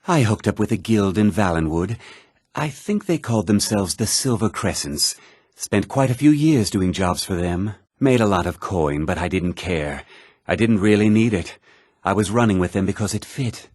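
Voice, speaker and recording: A man playing a self-assured, smooth-talking thief and merchant in a fantasy video game, with an expressive conversational delivery. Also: lowish voice, moderate pace, no room sound, clean studio sound. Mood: self-assured, casual. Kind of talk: scripted fantasy game character dialogue.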